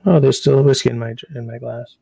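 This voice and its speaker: Male livestream host, gravelly, dry close mic, rambling chat. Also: medium pitch, steady tone, quick pace, mellow, no room sound. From Canada. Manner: meandering, reads out chat comments.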